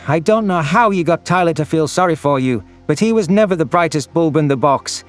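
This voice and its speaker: A man's voice: a little high, lively intonation, brisk, soft background music, pitched above the performer's own voice, lightly acted. A man voicing a furious, bitter alien prey creature in an audio drama, accusatory and screeching. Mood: bitter, accusing. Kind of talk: audio drama character acting.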